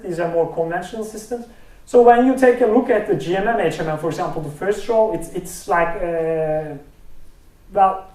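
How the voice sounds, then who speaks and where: Turkish-accented man, research talk, high-ish voice, stop-start delivery, echoey room, off-mic. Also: darkish tone, distant mic. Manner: hesitant, many fillers and restarts. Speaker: speech researcher giving a talk. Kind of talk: conference presentation with live demo. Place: lecture room. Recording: roomy hall from off-mic.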